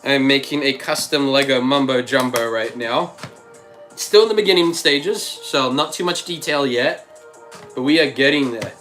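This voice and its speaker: A man's voice: medium pitch, normal speed, fairly bright tone, soft background music. A man hosting a casual livestream, thinking aloud as he builds and answering chat, still with a slight cough and a frog in his throat. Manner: thinks aloud, chatty, reads out chat messages. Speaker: livestream host.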